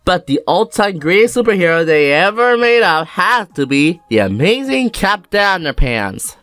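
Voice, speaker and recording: A narrator reading a children's storybook aloud in an animated, theatrical way for a fan dub. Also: mid-range voice, normal speed, dry recording. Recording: music behind the voice.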